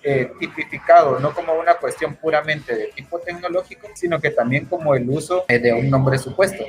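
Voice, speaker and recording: A man's voice: a little high, fairly quick, music in the background. A man from Guatemala with a Guatemalan accent, explaining law in wordy, formal phrasing as a panel speaker. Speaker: legal expert, panel speaker.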